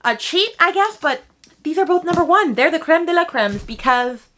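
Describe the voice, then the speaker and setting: A woman's voice: very high-pitched, animated, moderate pace, bright, forceful tone, slight background noise. A young woman chatting casually to camera as a book reviewer, gushing and slangy, repeating words for emphasis. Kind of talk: casual enthusiastic chat to camera.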